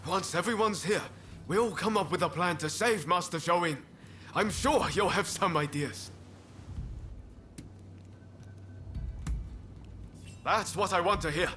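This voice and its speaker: A man's voice: high-pitched, normal speed, bright, forceful tone, slight background noise. A man playing an easygoing, idealistic samurai hero in a video game cutscene. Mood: confident, encouraging.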